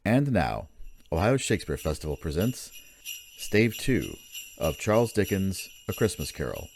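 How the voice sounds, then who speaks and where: A man's voice: low-pitched, wildly swooping pitch, moderate pace, a little mellow, slight background noise. A man announcing a radio play from a script in a showy, theatrical old-time style. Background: music.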